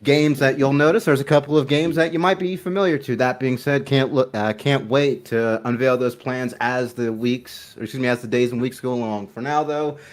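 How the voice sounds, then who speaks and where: A man's voice: medium pitch, fairly even intonation, normal speed, few pauses. Laughing, rambling man on a game stream. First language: English. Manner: laughing, self-deprecating, stumbles over words. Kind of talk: casual gaming stream chat.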